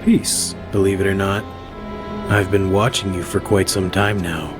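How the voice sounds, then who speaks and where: A man voicing a smug, calculating billionaire villain in a comic dub, measured and pompous. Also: low-pitched, fairly even intonation, music in the background. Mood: smooth, persuasive.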